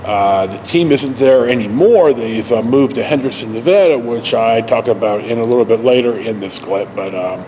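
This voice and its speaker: An older, retired man telling stories casually to camera in a halting, rambling, self-correcting way. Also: medium pitch, mellow, a little hiss or hum, low-quality recording. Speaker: video storyteller.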